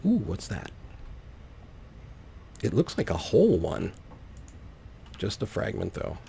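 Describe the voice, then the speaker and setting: A man's voice: lowish voice, wildly swooping pitch, slow, vocal fry, dark tone, slightly rough. A man giving casual, playful gameplay commentary as a let's play host, rambling with wry asides. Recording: faint background noise.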